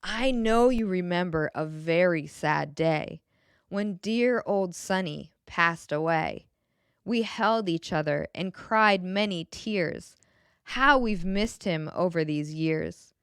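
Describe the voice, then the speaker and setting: A woman reading a children's picture book aloud in a gentle, expressive way, pausing to put questions to the young listener. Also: on the low side, no echo, studio quality. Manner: gentle, expressive, clearly enunciated storybook delivery.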